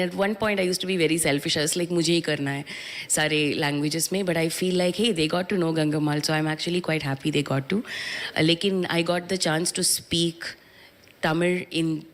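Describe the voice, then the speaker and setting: A reflective Indian woman in her thirties speaks with an Indian English accent, working through her thoughts with small restarts. Her voice sits a little low for a woman, and she answers from a press conference stage into a handheld mic. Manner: reflective, with frequent restarts and fillers. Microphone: handheld microphone.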